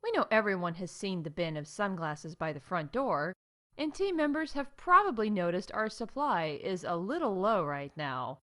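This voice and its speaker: A woman's voice: mid-range voice, sing-song, moderate pace, pushed and bright. A woman playing a chipper, glib theme park announcer reading comedic corporate updates in an audio drama.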